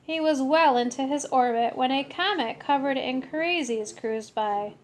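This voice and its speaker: Slow, high-voiced woman reading a picture book. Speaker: story reader. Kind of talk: expressive children's picture book read-aloud.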